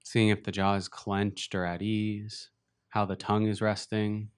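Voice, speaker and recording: A man leading a beginners' body scan meditation, speaking slowly and gently with long pauses. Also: lowish voice, flat delivery, clear, resonant tone. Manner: slow, gentle, unhurried guidance with long pauses. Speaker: meditation teacher. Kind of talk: guided meditation.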